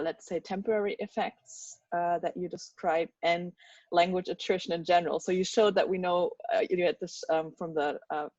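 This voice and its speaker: A woman's voice: lowish voice, a little mellow, no room sound. A young woman from Germany asking a question over a video call, chatty and casual with lots of fillers and laughs. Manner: chatty, laughing, full of likes and ums. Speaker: audience member asking a question. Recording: dull, dry video-call audio.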